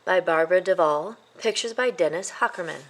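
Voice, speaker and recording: A woman's voice: on the low side, a little fast, poor audio. A woman reading a children's story aloud as its narrator, in a scripted storytelling style. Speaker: storybook reader.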